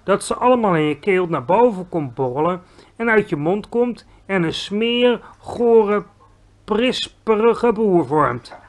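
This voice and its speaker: A man voicing a big friendly male giant in a storybook reading, excitable, loud and drawn out, with muddled grammar. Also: sits high, voice pushed up, heavily acted. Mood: lecturing. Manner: mangled grammar, loud exclamations, drawn-out words.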